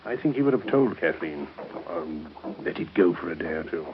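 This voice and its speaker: A genteel, cautious older uncle in a vintage radio serial. His voice is on the low side, formal and hesitant. The old recording is dull with faint noise. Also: animated, normal speed. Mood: cautious, reassuring. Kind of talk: 1930s radio soap acting.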